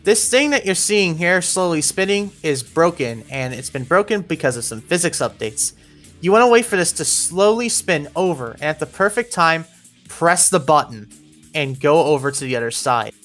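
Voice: mid-range voice, expressive, normal speed, a bit bright. A narrator giving scripted, step-by-step instructional commentary for a video game walkthrough. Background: background music.

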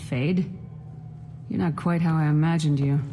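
Tough lady commander in a game, low voice, no nonsense, music behind her. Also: steady tone, unhurried. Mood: firm. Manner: firm, terse.

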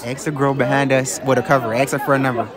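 A man's voice: medium pitch, flat delivery, quick pace. A man hosting casual public interviews, teasing and egging people on in slangy talk. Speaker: street interview host. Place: outdoors in a busy public place. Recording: busy outdoor crowd noise.

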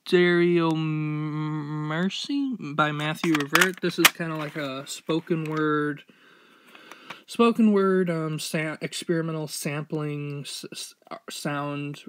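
A young man with a slightly higher-pitched voice talks off the cuff, showing things to the camera. His delivery is halting and rambling, full of hesitations. The handheld home recording has clear background noise. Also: a bit bright. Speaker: vlogger showing a collection. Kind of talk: casual, unscripted show-and-tell. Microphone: handheld phone or camera mic. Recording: noisy, fuzzy handheld amateur recording.

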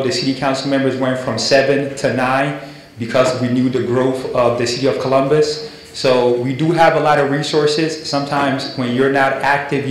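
A man in his thirties from Ghana speaks in a measured, even tone into a handheld mic as he lists community resources. His pitch stays flat, and a very echoey hall surrounds his voice. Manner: steady, measured, listing resources. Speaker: city community liaison. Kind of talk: public address at a community meeting. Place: large indoor event hall.

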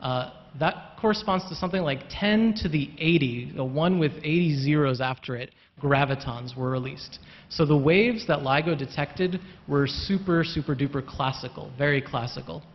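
A man's voice: on the high side, moderate pace. A man on a panel in a lecture hall, explaining patiently with lots of hedging and repeated words. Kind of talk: panel discussion answer. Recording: muffled hall recording with long reverb.

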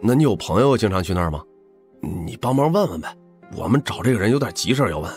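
Man playing a cheeky lowish-voiced young buddy, animated. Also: fast talker, faint music behind. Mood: coaxing. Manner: playful, wheedling. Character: cheeky, eager, teasing young male buddy. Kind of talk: audiobook drama acting.

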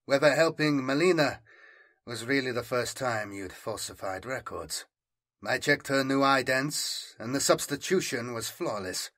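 A man voicing an earnest, principled official in an audiobook, calm, guarded and quietly probing. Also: mid-range voice, measured pace.

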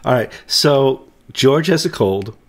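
A middle-aged man hosts a casual news chat from home over a video call. He jokes and keeps things moving, and his voice runs deep while he gets over a cold. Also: expressive, slow, slight background noise. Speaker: host.

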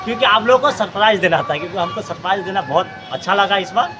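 A young man with a hoarse, fairly high voice, chatting excitedly to viewers as a travel vlog host. He talks casually and keeps the energy up, filmed outdoors by a camera a few steps away. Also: fast, over music, low-quality recording. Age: young adult. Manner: chatty and hyped, addresses viewers as guys.

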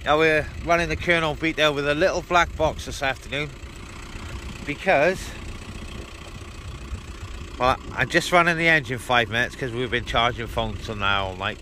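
A man's voice: medium pitch, fairly even intonation, normal speed, pauses often, sharp tone, slight background noise. A man vlogging casually and talking on the radio from inside a parked car, addressing viewers informally. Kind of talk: casual vlog chat and radio talk.

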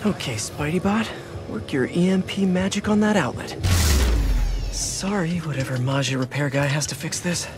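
An actor playing an earnest, quippy young superhero in a video game, talking in determined dialogue and self-narration. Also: male voice, on the high side, moderate pace, natural voice. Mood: focused. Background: music.

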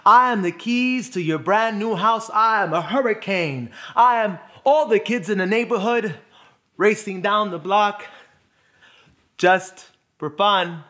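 A man performing a dramatic poetic monologue, phrasing deliberately and emphatically with pauses for effect. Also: high-pitched, pushed and bright. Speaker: spoken word performer.

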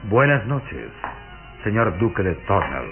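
An actor playing a mysterious, courteous count with insinuating, formal manners in a radio drama. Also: male voice, lowish voice, big pitch swings, loud music behind the voice. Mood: smooth, insinuating. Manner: formal, unhurried. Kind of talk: old radio drama acting. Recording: dull lo-fi old radio recording.

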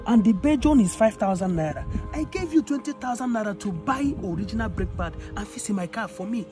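A man's voice: sits high, expressive, brisk. A man with a Nigerian accent playing a generous, wronged customer, speaking emphatically and repeating himself in a skit. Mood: indignant, baffled. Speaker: actor in an online skit. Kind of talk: improvised moral skit acting. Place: outdoors in an open car yard. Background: music, vehicles.

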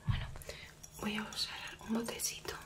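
A young woman from Spain with a Spanish accent, voicing a gentle, protective werewolf woman in an ASMR roleplay, whispering softly and coaxingly into a close mic. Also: close to an everyday voice. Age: young adult. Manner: soft reassuring whisper, slow and coaxing. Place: small indoor room dressed as a cabin.

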